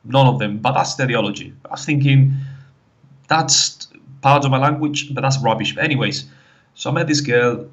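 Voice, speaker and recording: A man's voice: medium pitch, normal speed. A man with a mixed Spanish and British accent calling in, talking hesitantly with many fillers over a phone line. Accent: mixed Spanish and British English. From Spain and the UK. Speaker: caller. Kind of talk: call-in conversation. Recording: dull lo-fi phone call.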